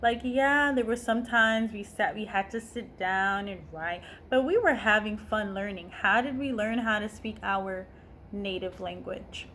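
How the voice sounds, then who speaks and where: A young woman in her twenties speaks to camera at an unhurried, easy pace, her pitch sitting in the middle of the range. She sounds earnest and heartfelt, often asking herself questions before answering them. A faint hum of noise sits under her voice. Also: fairly bright tone. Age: 20s. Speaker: online language teacher. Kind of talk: casual talk to camera.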